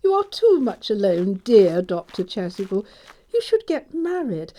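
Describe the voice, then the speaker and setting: A woman's voice: mid-range voice, big pitch swings, measured pace, mellow, rough recording. A woman playing a prim middle-aged governess in an audio stage comedy, pompous and sententious, with coy amorous hints. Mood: coy, lecturing.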